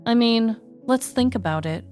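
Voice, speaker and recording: A woman hosting a podcast, reading a comedic true-crime story with wry, deadpan delivery. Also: a little high, moderate pace, faint music behind.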